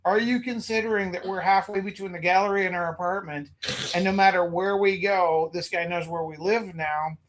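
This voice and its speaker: A man from Alberta tells long, wandering stories in casual conversation, slipping into little acted-out quotes. His voice sits notably high for a man. He runs an art gallery and also teaches. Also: moderate pace, low-quality recording. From Alberta, Canada. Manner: rambling, animated anecdotes with acted quotes. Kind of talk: casual storytelling chat.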